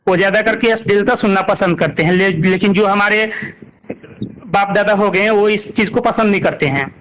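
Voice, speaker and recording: Male interviewee, high voice, Bhojpuri-belt Hindi accent, conversational, emphatic, often repeats points and checks the listener follows. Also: normal speed, a bit bright, rough recording. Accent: Bhojpuri-Magahi belt Hindi, eastern India. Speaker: singer being interviewed.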